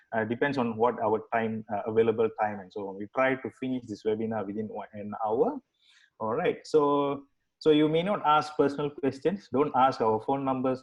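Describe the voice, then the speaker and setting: A man hosting an online webinar, talking casually in a rambling way with many fillers and restarts. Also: mid-range voice. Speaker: webinar host. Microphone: video call. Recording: dull video-call audio.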